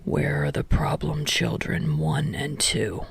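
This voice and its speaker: A woman voicing a weary, deadpan male teacher who speaks in a tired, droning monotone in a story reading. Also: low voice, slow pace, gravelly, husky, voice pushed down, heavily acted.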